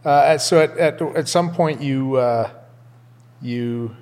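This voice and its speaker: A man's voice: mid-range voice, slow, a little mellow. A man hosting a casual interview, easygoing, with frequent short acknowledgments. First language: English.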